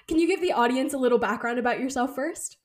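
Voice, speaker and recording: A woman's voice: high voice, fast talker, darkish tone. A woman hosting an interview podcast, reading a guest introduction and asking friendly questions. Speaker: podcast host.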